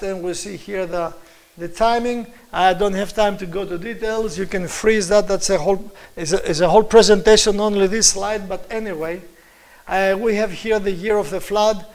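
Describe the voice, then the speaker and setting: A middle-aged man with a Greek accent delivers a slide lecture in an earnest, emphatic way. His voice sits fairly high for a man. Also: rough recording. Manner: earnest, emphatic, chuckles at own points.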